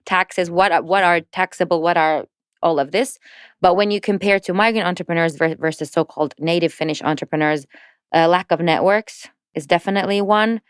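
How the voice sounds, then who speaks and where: Female podcast guest, fluent and informative, resonant low voice. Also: a little fast, smooth, no echo, studio quality. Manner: fluent and explanatory, light laughs between words. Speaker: podcast guest, entrepreneurship network representative. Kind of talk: casual podcast conversation.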